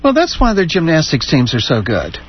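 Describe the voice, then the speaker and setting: A man's voice: on the high side, wildly swooping pitch, fairly quick, muffled recording, noisy background. A man co-hosting a radio interview, chuckling and chiming in with quips. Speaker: radio co-host. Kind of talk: casual radio interview chat.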